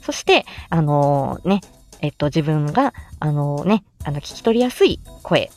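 A woman's voice: low-pitched, expressive, unhurried, darkish tone, soft background music. A woman hosting a casual livestream, chatting and giving encouraging tips in the manner of an amateur voice actor. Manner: chatty, encouraging, often chuckling.

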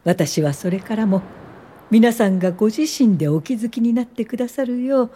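A woman's voice: a little high, animated, dark tone, slightly rough. An actress playing a thoughtful woman in her late fifties, a welfare official, in a radio drama, speaking politely and reflectively. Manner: polite, measured, reflective storytelling. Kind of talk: radio drama narration and dialogue.

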